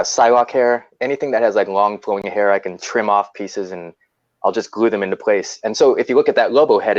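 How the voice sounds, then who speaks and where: A low-voiced man talks through his methods in a rambling, halting way, stopping and restarting often. His audio is muffled and dry, with no room sound. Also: no room sound. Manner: rambling, halting, lots of fillers and restarts, chuckles while explaining. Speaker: guest customizer. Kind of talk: casual chat.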